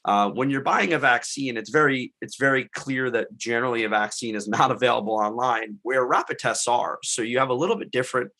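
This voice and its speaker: A man's voice: mid-range voice, brisk, few pauses, pushed and bright. A man who is congested from illness, talking through a panel discussion in a rambling way, with many fillers and restarts. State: congested, getting over illness. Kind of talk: conversational panel talk.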